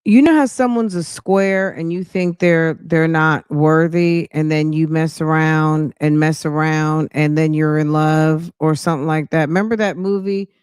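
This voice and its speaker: A woman's voice: deep voice, steady tone, measured pace, few pauses, darkish tone, clear, resonant tone, no echo, clean studio sound. A woman podcast host giving casual, gossipy reaction commentary in a slangy, sassy way, speaking straight to her listeners.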